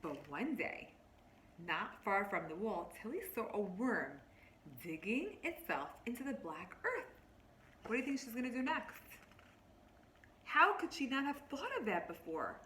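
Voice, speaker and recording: A woman teacher reads a picture book aloud to children, expressively, pausing to explain words and ask them questions. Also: medium pitch. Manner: expressive, instructive, addressing children directly. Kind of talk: read-aloud storytelling with explanations and questions to young listeners. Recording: roomy, echoing, faint background noise.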